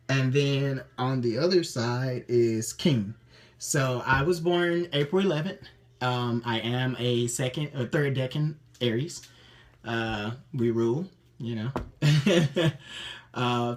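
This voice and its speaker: A man with a mid-range voice, neither high nor low, telling the stories behind his tattoos. He talks in a chatty, upbeat way and often stops on an um or trips over his sentences as he goes. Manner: chatty and upbeat, many ums and self-restarts. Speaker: interviewee showing his tattoos. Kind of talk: casual personal account to camera.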